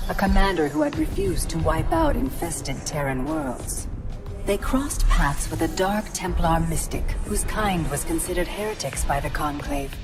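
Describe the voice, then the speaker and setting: A solemn female chronicler of a space war, with a deep, low voice. She delivers dramatic scripted narration for a video game, with clear music behind her. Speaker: narrator of game story recap. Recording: loud soundtrack music behind.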